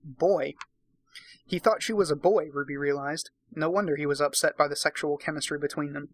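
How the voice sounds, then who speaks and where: A man's voice: on the high side, steady tone, brisk, no echo. A tipsy man hosting a casual comic read-aloud, narrating and breaking off for sweary, sarcastic commentary. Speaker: host, narrator.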